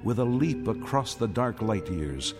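A man's voice: on the low side, big pitch swings, a little slow. A man acting as the grave storyteller of a radio space saga, with solemn, portentous scripted narration. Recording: music behind the narration.

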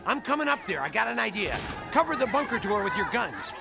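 An actor playing a wisecracking, brash rogue general in a radio drama, wry and informal. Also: male voice, high-pitched, big pitch swings, quick pace, gravelly, exaggerated voice. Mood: excited. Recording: loud music behind.

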